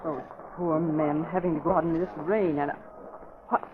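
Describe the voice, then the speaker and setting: An actress playing a brisk, alert young woman reporter who speaks quickly and earnestly, in 1940s radio acting. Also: low voice, fairly even intonation, close to an everyday voice. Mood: alarmed. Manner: quick, earnest, exclaims when alarmed. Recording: dull old radio broadcast with noise.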